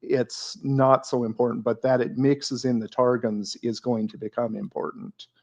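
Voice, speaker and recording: A man's voice: mid-range voice, level tone, moderate pace, gravelly, a little mellow. A man co-hosting a casual theology discussion, explaining points carefully with frequent fillers and repeated words. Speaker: podcast co-host.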